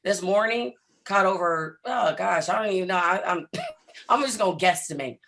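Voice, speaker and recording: A man with a hoarse, lost voice, talking in an energetic, hype-filled way to viewers as a trading coach on a live stream. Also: sits high, moderate pace, slight background noise.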